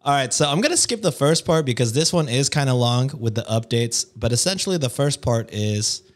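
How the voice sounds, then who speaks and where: A man's voice: medium pitch, expressive, a little fast. A man co-hosting a podcast in casual chat, joking and teasing his co-hosts before reading a story. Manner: jokey, teasing, playful. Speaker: podcast co-host reading stories.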